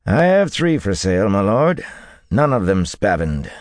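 Man voicing a shrewd stable-keeper, lifted lively voice. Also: lowish voice, unhurried, dry recording, studio quality, voice pushed up. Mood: bland, deferential. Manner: deferential but bland salesman's patter. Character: wiry, leathery, shrewd male stable-keeper selling horses. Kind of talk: audiobook character dialogue.

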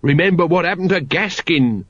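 A man puts on a shifty veteran watchman for an audiobook. He mutters and grumbles in rough, uneducated slang, forever wary of trouble. The recording is a little muffled. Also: on the high side, lively intonation, moderate pace, a bit bright, no echo, voice pushed up. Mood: grumbling, wary. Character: seedy, cowardly, grumbling low-class veteran watchman. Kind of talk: audiobook character voice.

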